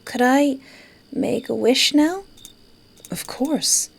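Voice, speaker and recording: A woman's voice: high-pitched, slow pace, creaky, breathy edge, voice pushed up, full character voice. A woman voicing a bashful, teasing female artist on a date in a visual novel, with a light, coy delivery. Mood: shy fondness. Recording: music behind.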